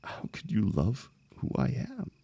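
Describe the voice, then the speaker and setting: A man acting a lovelorn young heartbreaker in an intimate, emotional audio roleplay, speaking haltingly and rawly to a longtime friend. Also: mid-range voice, huge pitch swings, gravelly, mellow, breathy edge, dry recording, exaggerated voice. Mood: desperate, heartbroken. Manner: halting, stammering, swearing, emotionally raw.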